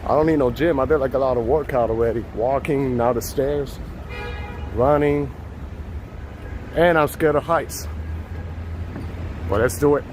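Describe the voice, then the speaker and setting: A man's voice: mid-range voice, a little slow, long pauses, poor audio. A man with a Puerto Rican accent hosting a casual live walking tour outdoors, chatting with viewers on a phone mic as he goes. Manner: chatty, reads out viewer names. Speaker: live-stream host. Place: outdoors on city streets. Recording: loud street traffic noise.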